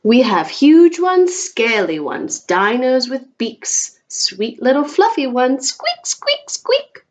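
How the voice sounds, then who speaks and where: A woman's voice: sits high, sing-song, slow pace, few pauses, pitched above the performer's own voice, exaggerated voice. A woman voicing a flamboyant, proud male dinosaur store owner in a picture book, with a theatrical, welcoming manner. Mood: showy patter.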